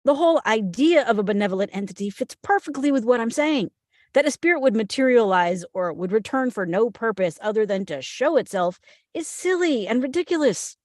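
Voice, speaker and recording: An actress playing a skeptical, impatient and rational FBI agent at a script table read, matter-of-fact and argumentative. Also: female voice, fairly high pitch, animated, brisk, darkish tone, no room sound, clean studio sound, close to an everyday voice.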